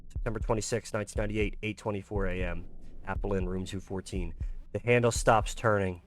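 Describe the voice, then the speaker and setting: A man's voice: on the low side, fairly even intonation, fairly quick, slight background noise. A man reading dramatic scripted narration for a horror visual novel. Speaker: narrator and creator.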